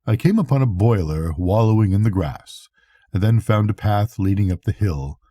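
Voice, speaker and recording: Male narrator, grandfather age, lowish husky voice, measured literary bedtime reading. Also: expressive, dark tone, dry recording, studio quality. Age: older, a grandfather. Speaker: audiobook reader.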